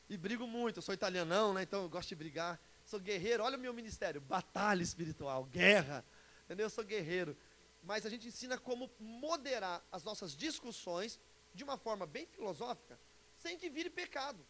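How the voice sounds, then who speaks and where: A man's voice: high-pitched, lively intonation, a little fast, fairly bright tone. A Brazilian man in his forties preaching and teaching informally to a congregation in a chatty, joking way, often putting questions to his listeners. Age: 40s. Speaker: pastor and conference speaker. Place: church conference before an audience. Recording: live talk with background hiss.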